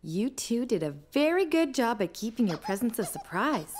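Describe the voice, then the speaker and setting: A woman playing a cheerful, affectionate young mother in a preschool cartoon, expressive and gently encouraging. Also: fairly high pitch, normal speed, a little hiss or hum. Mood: delighted, affectionate. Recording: faint music behind.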